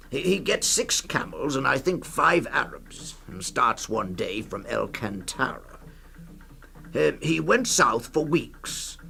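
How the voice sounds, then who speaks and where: An actor playing a hesitant male storyteller in a 1960s radio reading, gravelly and halting, telling a grim tale with many uhs and pauses. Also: mid-range voice, pushed and bright, slight background noise, heavily acted. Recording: 1960s radio production with music.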